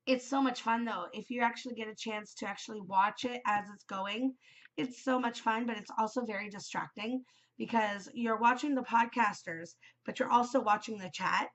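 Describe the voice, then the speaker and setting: A woman's voice: medium pitch, muffled recording. A woman hosting a craft podcast, chatting casually with lots of ums, her voice stuffed up and worn from a cold. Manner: rambling, many ums, chatty. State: congested, voice shot from a cold. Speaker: knitting podcast host. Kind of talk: casual solo chat.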